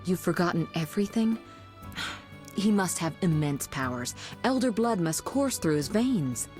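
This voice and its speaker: A woman playing a commanding, impatient sorceress in a video game, brisk and authoritative. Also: fairly low pitch, lively intonation, darkish tone, soft background music. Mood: urgent, exasperated. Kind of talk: video game dialogue acting.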